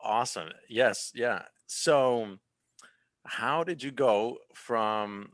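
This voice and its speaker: A man's voice: mid-range voice, very slow, no room sound. A man hosting a podcast, encouraging his guest and laughing along as he asks questions. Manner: warm-hearted, encouraging interviewer who laughs along. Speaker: podcast host. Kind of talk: casual interview chat.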